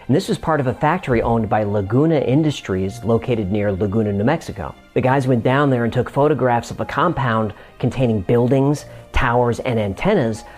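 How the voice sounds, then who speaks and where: A male host narrates a scripted explainer. His voice sits at an ordinary middle pitch, and faint music runs under his conversational delivery. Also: a little fast, hardly any pauses, a little mellow.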